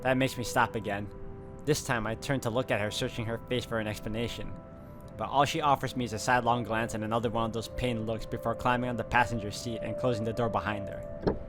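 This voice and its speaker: A man's voice: medium pitch, steady tone, fast talker, music in the background. A man reading a visual novel aloud as a let's play host, in a narrating style with casual asides.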